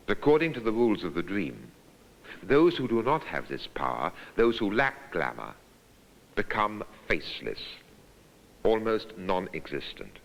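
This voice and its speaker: A man giving scripted documentary narration in a measured, deliberate, reflective way. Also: low-pitched, vocal fry, low-quality recording.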